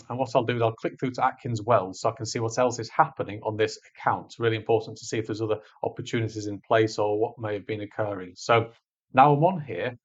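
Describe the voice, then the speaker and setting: Male tutorial presenter, medium pitch, conversational step-by-step instruction, somewhat lo-fi sound. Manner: informal, explains step by step. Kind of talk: screencast walkthrough, conversational instruction. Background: voice-over on a screen recording.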